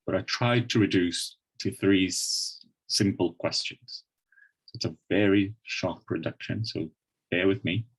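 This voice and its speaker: A man's voice: deep voice, unhurried, breathy edge, low-quality recording. A Brazilian man with a Brazilian Portuguese accent, giving an online lecture and often restarting his sentences as he explains. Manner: thoughtful, many restarts and fillers. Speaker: webinar presenter.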